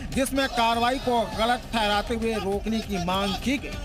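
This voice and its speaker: A man's voice: very high-pitched, moderate pace. A man reading a scripted television news report as a voice-over. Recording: loud music bed under voice. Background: loud music.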